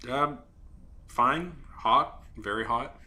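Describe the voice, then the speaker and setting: A young man chatting casually on a live stream while drawing, rambling and halting with many fillers and restarts. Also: medium pitch, sharp tone. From Saudi Arabia.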